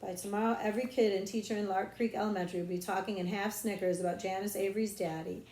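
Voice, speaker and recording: A woman narrates an audiobook in an expressive storytelling style. Her pitch is medium, and the recording has clear room echo. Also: fast talker, slight background noise. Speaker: audiobook reader, librarian.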